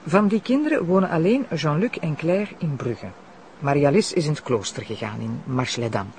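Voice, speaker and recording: A woman's voice: deep voice, lively intonation, normal speed, a little mellow. A woman with a Flemish accent playing an eager, polite deputy prosecutor in a naturalistic audio drama. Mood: businesslike. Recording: faint background noise.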